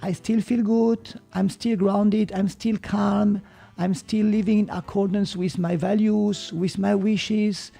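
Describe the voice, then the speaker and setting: A man with a Swiss German accent, explaining ideas on a podcast in a slow, reflective way and sometimes searching for English words. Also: high voice, flat delivery, vocal fry, dark tone. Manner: slow, thoughtful, gets stuck on English words. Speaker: podcast guest, martial arts teacher.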